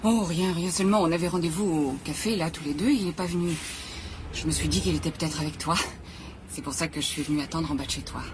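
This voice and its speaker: A woman's voice: deep voice, fast, close to an everyday voice. An actress playing an anxious, suspicious but proud wife in a French radio drama, nervous and apologetic as she corrects herself. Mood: probing, tense. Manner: nervous, self-correcting, shifting from firm to faint. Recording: clearly audible background noise.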